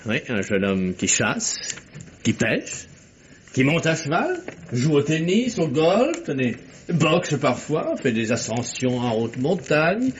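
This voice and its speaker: A curious detective, dull old recording. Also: male voice, a little high, wildly swooping pitch, slow, slight background noise, full character voice. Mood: musing, amused. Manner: musing, chatty, punctuated with hums and repeated words. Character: curious, genial, inquisitive male amateur detective. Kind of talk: mid-century French radio mystery acting.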